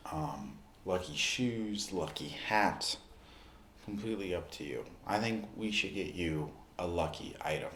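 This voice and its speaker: A man speaking in an ASMR roleplay, addressing the listener directly in short, unhurried phrases with pauses. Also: deep voice, fairly bright tone, echoey room, slight background noise. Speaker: ASMR performer playing a basketball recovery expert.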